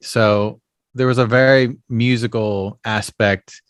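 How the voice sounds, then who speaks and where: A man's voice: mid-range voice, fairly even intonation, slow pace, no echo. A man, an actor and puppeteer, telling family stories in a casual podcast interview, rambling and full of "you know" and "um". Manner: rambling storyteller, leans heavily on "you know" and "um".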